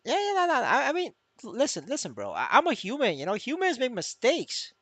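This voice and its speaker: Male gaming streamer, bright sharp tone, dry recording, stammering and repetitive, casual and sweary. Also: very high voice, wildly swooping pitch, a little fast, no room sound. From Vietnamese. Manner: stammering, repeats words, swears freely. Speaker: game streamer. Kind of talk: casual livestream chat.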